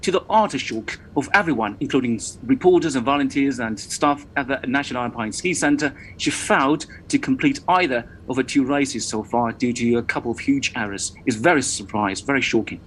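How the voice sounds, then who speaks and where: A male reporter chatting casually on a live show, stumbling over and repeating words as he goes. His voice sits at an ordinary middle pitch. A faint noise runs underneath. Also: a little fast, few pauses, fairly bright tone, rough recording. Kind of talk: live news talk show chat.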